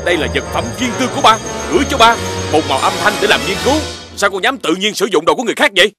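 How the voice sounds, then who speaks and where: A man's voice: high voice, animated, rapid-fire. A man voicing a stern but caring father dog in a children's cartoon, emphatic and paternal, with a Southern Vietnamese accent. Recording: music under the voice.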